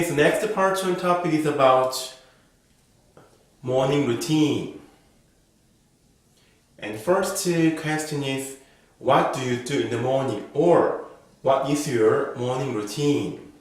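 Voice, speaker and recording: Slow, careful man in an echoey room. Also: mid-range voice. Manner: slow, careful, model-answer delivery. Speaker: IELTS speaking teacher. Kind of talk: reading sample exam answers as a lesson.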